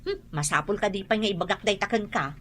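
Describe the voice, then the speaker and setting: A woman's voice: medium pitch, quick pace, natural voice. A woman playing a defensive, exasperated younger wife in a melodramatic radio drama. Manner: melodramatic, expressive.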